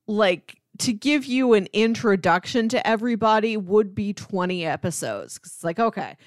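A woman chats casually as a podcast co-host. Her voice sits at a medium pitch for a woman, and the recording is close and dry, with little room sound. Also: expressive, normal speed, no echo. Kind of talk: casual chat about TV sitcoms.

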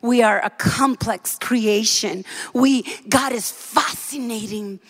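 A woman from the Dominican Republic preaching a sermon in a church, fervent and building to shouts. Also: on the high side, slow pace. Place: church sanctuary.

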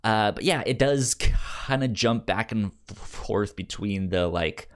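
A man's voice: lowish voice, takes it slowly. A man hosting a podcast in a casual, chatty style, often stammering and repeating words and syllables as he goes. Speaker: podcast host.

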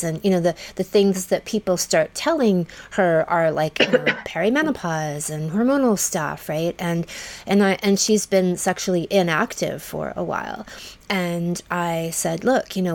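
A woman hosting an interview, talking in a reflective, affirming way. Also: on the low side. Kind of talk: conversational podcast interview.

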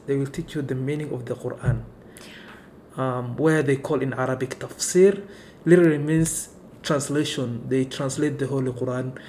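Mellow-voiced Somali-born man. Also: medium pitch, steady tone, a little hiss or hum. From Kenya. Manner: unhurried, fillers of "you know" and "right". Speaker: interview guest. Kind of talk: casual conversation.

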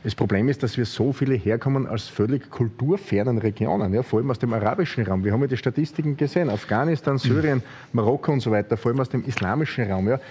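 A man, a politician arguing heatedly in a debate, forceful and repetitive. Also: medium pitch, fast talker, slightly rough. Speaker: politician guest.

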